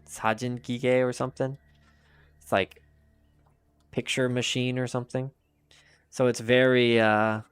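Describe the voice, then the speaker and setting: A male streamer talks casually to his chat while he plays, answering each message out loud and often restarting his sentences. His voice is smooth and sits at an average pitch. It sounds dry and close, with soft music playing underneath. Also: no echo. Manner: chatty, reads chat aloud and answers, rambling restarts. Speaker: game streamer.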